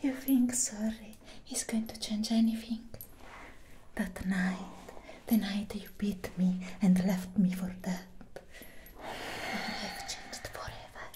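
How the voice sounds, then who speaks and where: A woman playing a defiant, anguished captive vampire hunter in a soft-spoken ASMR roleplay, with a breathy whisper and halting, strained delivery. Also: mid-range voice, steady tone, lightly acted. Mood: bitter.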